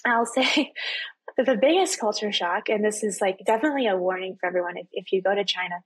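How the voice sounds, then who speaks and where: A woman in her twenties from Texas, a student guest chatting casually in an interview, full of fillers and quick laughs. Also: medium pitch, breathy edge, no room sound. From Texas, USA. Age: 20s. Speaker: podcast guest, university student.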